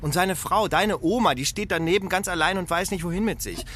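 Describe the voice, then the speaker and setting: A man's voice: high voice, animated, fairly quick, a bit bright, slight background noise. An actor playing a harried, blunt middle-aged father and career man in a naturalistic radio drama. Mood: urgent, exasperated.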